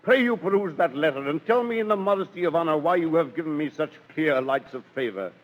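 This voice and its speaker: An actor playing an indignant, humiliated steward in declamatory 1930s radio Shakespeare style. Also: male voice, fairly high pitch, lively intonation, fast, highs cut off, lightly acted. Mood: aggrieved. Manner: pompous, declamatory. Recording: old 1930s radio recording.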